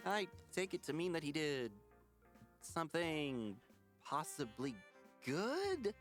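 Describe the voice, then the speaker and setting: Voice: mid-range voice, sing-song, takes it slowly. An actor voicing a weary, befuddled male schoolteacher in a visual novel, dry and hesitant. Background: faint music.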